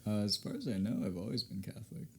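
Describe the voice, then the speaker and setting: A man's voice: on the low side, lively intonation, fast talker. A young American man, a podcast guest, telling stories in an easygoing, rambling way during a casual interview. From United States.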